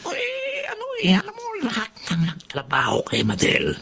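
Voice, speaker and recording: A man's voice: high voice, huge pitch swings, moderate pace, gravelly, crowd noise, exaggerated voice. A man playing a nosy, teasing co-worker in a Filipino drama, gossipy and insinuating, with a Filipino accent. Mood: conspiratorial. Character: nosy, teasing male co-worker and meddling buddy. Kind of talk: Tagalog radio-style drama acting.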